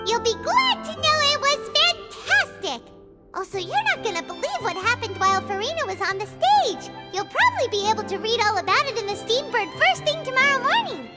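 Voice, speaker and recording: A woman voicing a tiny, excitable floating companion who talks about herself in the third person, in a video game. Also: extremely high pitch, brisk, loud music behind the voice. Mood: excited.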